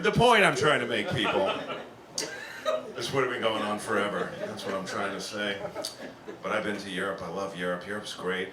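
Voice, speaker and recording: A man's voice: on the low side, recorded from a distance, background noise. A man doing stand-up comedy to a live audience, rambling and slipping into mock voices. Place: comedy club stage. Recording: roomy club with audience behind.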